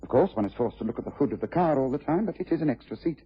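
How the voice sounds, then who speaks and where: Old radio english detective, raspy and sure of himself, muffled vintage recording. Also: male voice, medium pitch, animated. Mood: absorbed, investigative. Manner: precise, commanding, deliberate. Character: shrewd, methodical gentleman detective, sure of himself. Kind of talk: mid-20th century radio drama acting.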